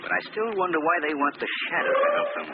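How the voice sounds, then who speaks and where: Suave gentleman sleuth, lively pitch. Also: fast talker, vocal fry, exaggerated voice. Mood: thoughtful, teasing. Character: suave, wry gentleman amateur detective, easygoing. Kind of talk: 1940s radio acting. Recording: tinny, noisy old radio broadcast.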